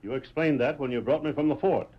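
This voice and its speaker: An actor playing a stern, formal army captain in an old radio western. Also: male voice, fairly high pitch, lightly acted. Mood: stern authority. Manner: brisk, formal, commanding. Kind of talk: 1940s radio acting. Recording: dull, lo-fi vintage radio recording.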